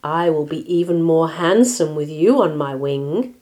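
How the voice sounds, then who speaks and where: Woman acting out a pompous, vain rooster suitor, loud. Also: low-pitched, expressive, measured pace. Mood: pompous, courting. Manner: florid, bossy, with Spanish exclamations.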